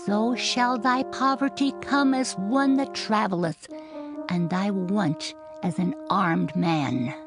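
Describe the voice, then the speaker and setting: A woman's voice: medium pitch, slow pace. A woman reading dramatised scripture with a theatrical, admonishing delivery. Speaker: scripture reader. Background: music.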